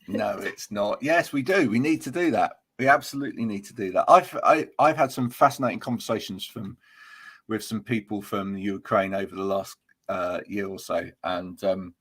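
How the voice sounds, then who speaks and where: A man's voice: on the low side, moderate pace, fairly bright tone, husky. A man hosting a casual interview, talking in a chatty, friendly way with frequent restarts. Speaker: host.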